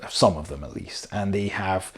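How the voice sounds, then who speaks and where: A man's voice: low voice, moderate pace, husky. A man reviewing a game rulebook in a casual, explanatory way, talking informally as he goes through it.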